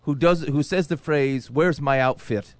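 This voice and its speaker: A man's voice: on the high side, a little slow, no echo. A man co-hosting a podcast with casual joking banter and a wry, mock-formal way of putting things. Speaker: podcast co-host.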